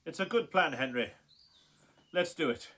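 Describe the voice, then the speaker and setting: A man's voice: fairly high pitch, expressive, moderate pace, fairly bright tone. A man playing a bitter, wrathful young scientist in a radio play, with intense, melodramatic delivery. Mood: subdued. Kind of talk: theatrical radio play acting. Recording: roomy echo.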